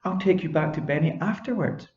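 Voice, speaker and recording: A man voicing a small, frightened, kind female fairy in a high, pleading voice for a storybook reading. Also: a little fast, a little mellow, reverberant, muffled recording, lightly acted. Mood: coaxing.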